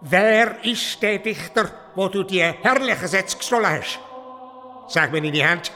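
Give this radio drama a menacing, accusing schoolmaster. The voice is high and piercingly forceful, and it rings through a long reverberant hall as he commands and interrogates. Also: measured pace. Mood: angry, accusing. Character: menacing, accusing male monastery teacher interrogating a pupil.

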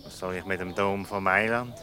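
A man's voice: deep voice, fairly even intonation, moderate pace, sharp tone. An actor playing a curt, inquisitive man with a Swiss German accent in a radio comedy. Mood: brusque, inquisitive. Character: brusque, inquisitive man searching for a couple.

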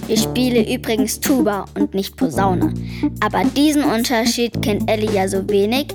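A woman's voice: medium pitch, moderate pace, natural voice. A woman playing a clever, scheming schoolgirl who narrates a children's radio play in a wry, know-it-all, matter-of-fact way. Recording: music behind the voice.

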